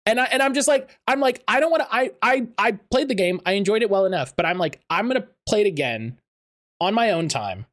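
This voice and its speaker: A man hosting a casual chat interview, talking in a halting, rambling way and often interrupting himself. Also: high voice, lively intonation, a bit bright, no echo, clean studio sound. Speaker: podcast host.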